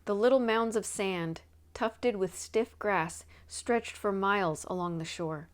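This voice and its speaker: A woman's voice: mid-range voice, unhurried. Woman reading a storybook aloud. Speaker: audiobook reader. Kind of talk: scripted storybook narration.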